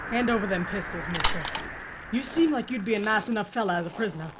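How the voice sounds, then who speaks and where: An actress playing a tough, blunt female sheriff in a Western audio drama, barking orders gruffly. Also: medium pitch, fast, natural voice. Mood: commanding. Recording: loud background hiss.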